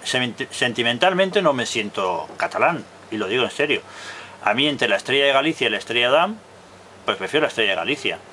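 A man in his fifties from Barcelona speaks straight into a close webcam, with a bright, forceful voice. He states his views emphatically and keeps repeating them. Faint hiss sits behind the voice. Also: mid-range voice, expressive, fairly quick. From Barcelona, Spain. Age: 50s. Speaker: video blogger. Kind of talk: opinionated monologue to camera. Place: indoors in front of a blue wall.

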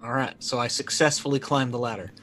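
A man's voice: mid-range voice, brisk, fairly bright tone, slight background noise. A middle-aged man playing a tabletop roleplaying game, describing his character's actions casually, in a hesitant, thoughtful manner. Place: indoor room, video call. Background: music.

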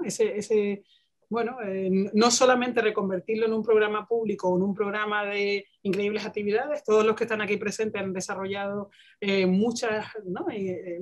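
Woman on a video call, searching for words. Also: medium pitch. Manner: searching for words, many restarts and English terms. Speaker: panelist, museum director. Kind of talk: panel discussion on video call. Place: home room with bookshelves.

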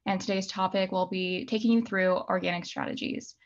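A woman's voice: medium pitch, steady tone. Woman moderator, muffled call audio. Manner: brisk, clear with "um" fillers. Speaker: webinar moderator. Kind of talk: housekeeping announcements.

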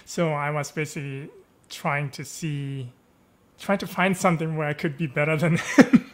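A man's voice: fairly high pitch, fairly even intonation, moderate pace. A man in his thirties from Germany, a native German speaker, talking casually over a headset on a video call, with frequent chuckles and fillers. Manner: easygoing, chuckles often, many fillers and tag questions. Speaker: guest. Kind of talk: casual interview chat. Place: home room, video call.

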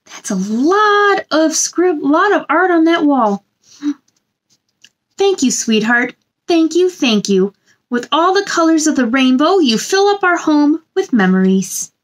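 High-pitched woman reading slowly to children. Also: sing-song. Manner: gentle sing-song delivery addressed to young children. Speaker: children's storytime host reading a picture book aloud. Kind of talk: children's read-aloud with chatty asides. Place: small indoor room.

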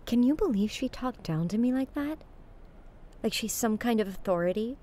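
A woman's voice: fairly high pitch, lively intonation, normal speed, dark tone. An actress playing an aggrieved young woman talking casually with a close friend in a naturalistic audio drama. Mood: upset. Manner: frustrated, casual.

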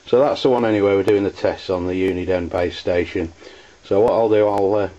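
An English man demonstrating hobby gear in a halting, hesitant way. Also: low voice, steady tone, warm tone, lo-fi sound. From United Kingdom. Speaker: CB radio enthusiast reviewing gear.

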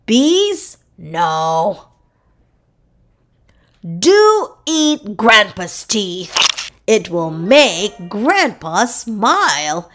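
Woman reading as an earnest, goofy storybook dog, very animated. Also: mid-range voice, full character voice. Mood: emphatic warning. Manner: emphatic, drawn-out storybook delivery. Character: earnest, goofy, boastful pet dog giving advice. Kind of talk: children's picture book read-aloud.

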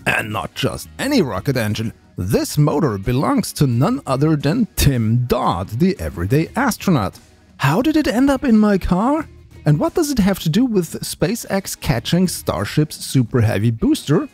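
An enthusiastic man tells a space story in a scripted vlog voice-over. His pitch rises and falls a great deal as he narrates. A little music plays softly beneath him. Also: wildly swooping pitch, normal speed, slightly rough. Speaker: space video creator.

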